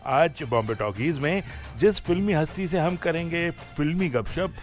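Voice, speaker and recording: A man hosting a conversational radio interview. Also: on the high side.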